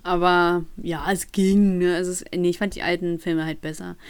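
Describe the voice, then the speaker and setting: A woman's voice: on the low side, little pitch movement, normal speed, clean tone. A young woman from Ukraine chatting casually as a podcast co-host, with playful, slangy banter. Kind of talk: casual chat.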